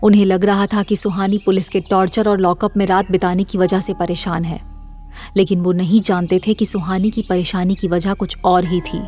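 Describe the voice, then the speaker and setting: A woman's voice: mid-range voice, level tone, moderate pace, darkish tone, faint music behind. A woman narrator reading a scripted horror story for an audio drama series.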